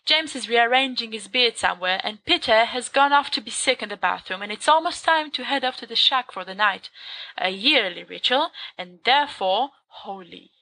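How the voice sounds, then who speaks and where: A woman reading fan fiction aloud in a lively storytelling narration. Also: on the high side, normal speed, no echo, rough recording. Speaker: podfic reader.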